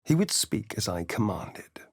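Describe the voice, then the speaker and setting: A man's voice: fairly low pitch, very animated, a little slow, no room sound, clean studio sound. A man playing a vain, sardonic vampire rogue in a video game, with an arch, theatrical, drawling delivery. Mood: hushed storytelling. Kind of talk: video game character voice lines.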